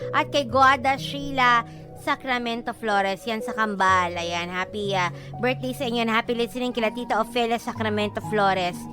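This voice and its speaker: A woman's voice: on the high side, normal speed. A woman with a Filipino accent co-hosting on radio, sassy and teasing, giving listeners advice and banter and slipping into English. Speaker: radio DJ co-host. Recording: music bed behind the voice.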